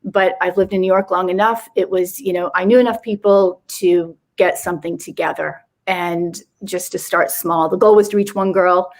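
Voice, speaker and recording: A woman on a live online panel describing her start-up work, speaking haltingly and correcting herself. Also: lowish voice, steady tone, darkish tone, rough recording. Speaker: panel guest, founder.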